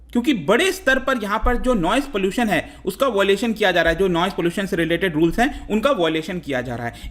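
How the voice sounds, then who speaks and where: A man's voice: high-pitched, a bit bright. An Indian man explaining current affairs like an online teacher, conversationally, mixing in English terms and posing questions that he then answers.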